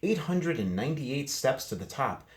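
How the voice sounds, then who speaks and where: Eager storybook schoolgirl played by a man, outspoken, enthusiastic, raised pitch. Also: big pitch swings, normal speed, lightly acted.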